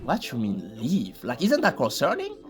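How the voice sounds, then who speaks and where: Male reaction host, very animated pitch, joking slangy commentary, loud music bed. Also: normal speed. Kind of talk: casual scripted commentary with slang.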